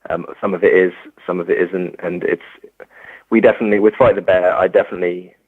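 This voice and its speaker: A man's voice: deep voice, fairly even intonation, fast, breathy edge, dry recording. A young English man, a musician, talking hesitantly in an interview with many fillers and restarts. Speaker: musician guest. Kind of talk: casual interview. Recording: tinny phone-like sound.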